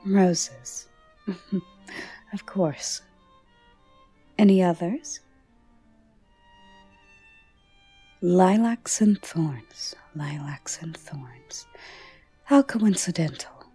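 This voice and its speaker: A woman performs an alluring, troubled gothic lady in an ASMR roleplay. Her voice is breathy and soft, often sinking to a whisper, and she speaks very slowly in a lowish register. Her manner is formal, tender and teasing, yet guarded. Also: soft background music, full character voice. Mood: curious, teasing. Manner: slow, formal, teasing endearments.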